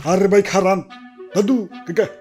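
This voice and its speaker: Man voicing a squeaky, stuttering cartoon show-off. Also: flat delivery, normal speed, a little mellow, faint music behind, close to an everyday voice. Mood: self-important. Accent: Bangladeshi colloquial Bengali. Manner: stuttering, showy. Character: vain, stammering male content creator, self-styled saviour. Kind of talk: comic cartoon acting.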